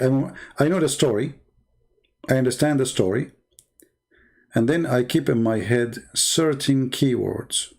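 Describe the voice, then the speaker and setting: A man's voice: mid-range voice, vocal fry, darkish tone. A middle-aged man from the Middle East whose first language is Arabic, hosting a live-stream lecture in an emphatic, repetitive way and throwing rhetorical questions at his viewers.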